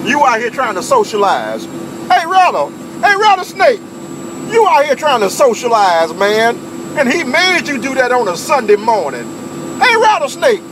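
Very high-pitched Southern man on CB radio. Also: a little slow. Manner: animated, repetitive, teasing. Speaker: CB radio operator. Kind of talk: bantering CB radio chat. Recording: very noisy CB radio transmission.

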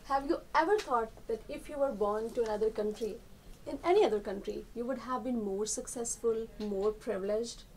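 A woman's voice: on the high side, moderate pace, a little mellow, a little hiss or hum, rough recording. A woman interviewer asking polite, deferential questions from off camera.

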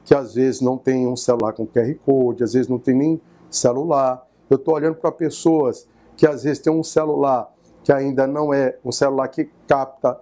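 A middle-aged Brazilian man, a legislator, explaining his bill deliberately in an interview. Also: medium pitch, steady tone, warm tone. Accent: Brazilian Portuguese. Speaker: state legislator. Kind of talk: interview statement.

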